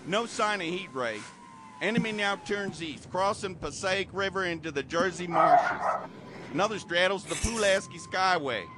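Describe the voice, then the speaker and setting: A man's voice: sits high, moderate pace, heavy background noise, close to an everyday voice. A man playing a tense military pilot commanding bombers and reporting an attack in an amateur radio play.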